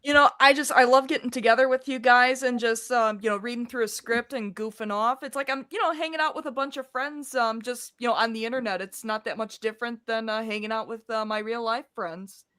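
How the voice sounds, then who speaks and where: Bright, higher-voiced woman chatting. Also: fairly quick, few pauses, clean tone. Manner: modest, appreciative. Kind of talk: casual chat.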